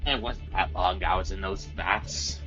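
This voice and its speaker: A man's voice: sits low, unhurried, faint music behind. A man voicing a scrappy, talkative video game hero who is loyal to friends. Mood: reminiscing. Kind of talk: rehearsing video game character voice lines. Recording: muffled, with loud game audio behind.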